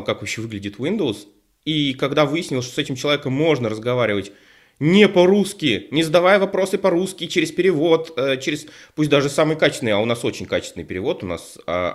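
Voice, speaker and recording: A man co-hosting an online show, chatting casually and rambling with many fillers and restarts over a call microphone. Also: mid-range voice. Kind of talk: casual panel discussion.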